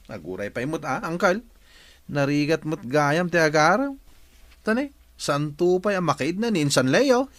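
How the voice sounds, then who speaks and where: A man's voice: mid-range voice, a bit bright, dry recording. A man playing a jovial uncle who teases younger folk about love in a radio drama. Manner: teasing, chuckling. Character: jovial, teasing uncle prodding lovesick young men.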